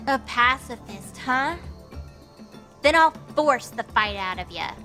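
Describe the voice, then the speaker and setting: A woman's voice: a little high, animated, slow pace, pushed and bright, soft background music, heavily acted. A woman playing a cunning, combative young girl godling in an audio drama, threatening and defiant.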